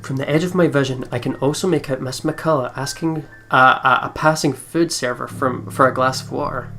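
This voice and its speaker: A woman's voice: a bass voice, brisk, a bit bright. A woman reading a visual novel aloud and adding irreverent, sweary comments, over game music. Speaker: let's play host.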